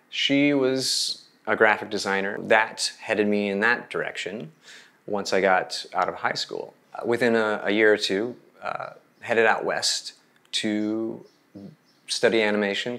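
A man's voice: on the low side, sharp tone. A middle-aged man from Oklahoma with an American accent, telling his story as an artist in an interview. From Oklahoma, United States. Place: bright room indoors.

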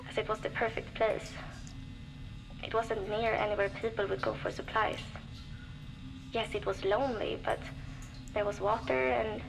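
An actress playing a young woman survivor, reflective and determined, recording a measured audio diary for a video game. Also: on the high side, gravelly, rough recording. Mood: lonely, weary. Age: young adult.

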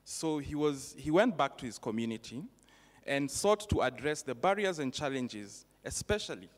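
A young man from Rwanda presenting an award at an event, talking in a relaxed, self-joking way with some fillers, into a handheld microphone on a stage. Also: mid-range voice, moderate pace. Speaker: foundation representative presenting an award. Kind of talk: informal speech at an awards event. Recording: reverberant event hall.

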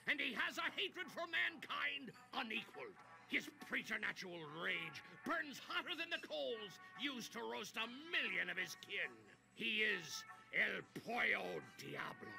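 A gravelly old pirate cook. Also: male voice, sits high, heavily acted, rough recording. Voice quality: gravelly, theatrical pirate brogue. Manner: swings from hearty boasting to dark dread and shouts. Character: boastful old male pirate chicken-shop cook.

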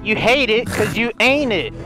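A man in casual multiplayer gaming banter, excitable and sweary, often shouting. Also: sits high, moderate pace, music in the background, rough recording. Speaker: gaming video creator.

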